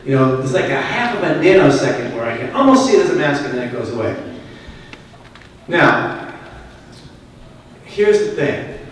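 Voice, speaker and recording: A man with a Boston accent gives a keynote to a conference crowd, speaking conversationally and with emphasis and often turning questions back on the listeners. His voice sits at a middle pitch. It is picked up from a distance in a big hall that leaves a long echo trail behind each phrase. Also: big pitch swings, normal speed, long pauses, slight background noise. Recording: echoey hall, voice from far off.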